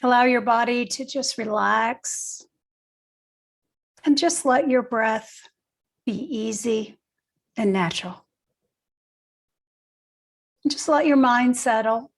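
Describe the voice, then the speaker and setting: An older woman leading a guided meditation, speaking gently and leaving long pauses between short phrases. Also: on the high side. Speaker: guided meditation teacher, nurse and educator.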